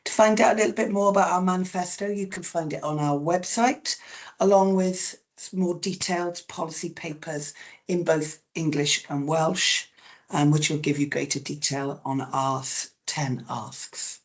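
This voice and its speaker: A woman's voice: deep voice, fairly even intonation, normal speed. A woman hosting a webinar, reading out a scripted introduction. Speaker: webinar host.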